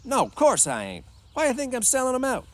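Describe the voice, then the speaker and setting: Man acting rough, quick-tempered ranch hand, swooping pitch. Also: huge pitch swings, a bit bright, no echo, full character voice. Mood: defensive. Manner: rough, slangy, scolding. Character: wiry, quick-tempered male ranch hand, bossy and defensive. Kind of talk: storybook read-aloud.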